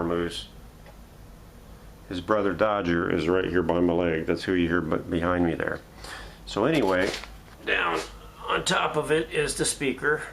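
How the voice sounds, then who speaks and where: A man's voice: on the low side, creaky, slight background noise. A man hosting a casual, unscripted workshop show-and-tell in a folksy, self-deprecating way, recorded indoors at home on a camera mic. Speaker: host of a vintage radio restoration channel.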